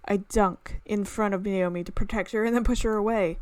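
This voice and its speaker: A woman hosting a gaming video, reading a visual novel aloud in an expressive way with exclaimed reactions. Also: medium pitch, brisk, smooth, dry recording.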